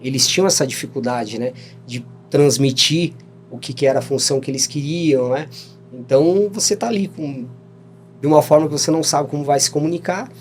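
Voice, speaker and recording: A man's voice: fairly high pitch, fairly quick, faint music behind. Brazilian man in his thirties, talkative. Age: 30s. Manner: rambling, frequent "né?" tags, laughs while telling stories. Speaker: podcast guest. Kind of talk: casual storytelling interview.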